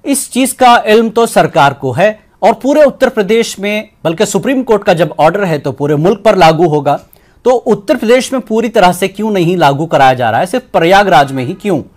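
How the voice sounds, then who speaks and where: Indian news anchor guy grilling panelists, high and bouncy. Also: expressive, a little fast. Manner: probing, prompting with short interjections. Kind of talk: moderating a TV debate.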